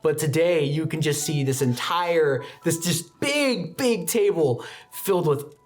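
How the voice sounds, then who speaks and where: A man's voice: fairly high pitch, animated, unhurried. A man running a tabletop game, narrating dramatically with casual, improvised asides. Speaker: game master.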